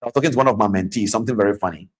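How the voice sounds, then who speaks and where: Low-voiced man hosting a live trading class. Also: rapid-fire. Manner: chatty and repetitive, greets viewers warmly by name. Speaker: trading coach and host. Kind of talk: live-stream masterclass with audience shout-outs.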